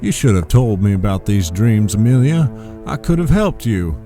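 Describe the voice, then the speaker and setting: A man acts out a wealthy middle-aged patriarch in an audio drama. His voice is low, formal and smooth-talking, placating with menace underneath, and music plays behind him. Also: sing-song, normal speed, dark tone. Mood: insistent, wounded.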